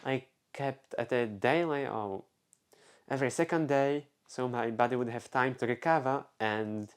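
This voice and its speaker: A man's voice: mid-range voice, unhurried, smooth. Rambling young Czech man. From Czech Republic. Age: young adult. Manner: rambling, self-interrupting, many fillers. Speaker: vlogger. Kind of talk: casual personal storytelling.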